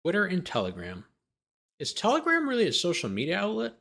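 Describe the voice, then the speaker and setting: A man's voice: high-pitched, wildly swooping pitch, brisk, creaky, fairly bright tone. A man who hosts a livestream as a lawyer, reading a document aloud and breaking off into sarcastic, exasperated, argumentative commentary.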